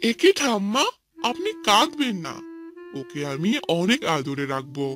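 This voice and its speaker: A woman's voice: mid-range voice, sing-song, unhurried, fairly bright tone, soft background music. A woman voicing a young husband in a cartoon, with an exaggerated delivery. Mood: affectionate. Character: young husband, smooth-talking then bullying. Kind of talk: Bengali cartoon voice acting.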